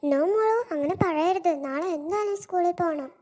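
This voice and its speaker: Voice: very high voice, moderate pace, darkish tone. A performer voicing a bossy, proud cartoon mother in exaggerated scripted dialogue. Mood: firm, coaxing. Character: bossy, proud cartoon mother planning a showy birthday party.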